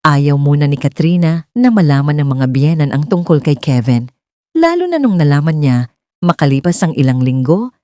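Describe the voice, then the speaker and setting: A Filipino woman narrates dramatic scripted fiction. Her voice sits low and mellow, recorded dry without any room sound. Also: very animated, normal speed, no room sound. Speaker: narrator of romance stories.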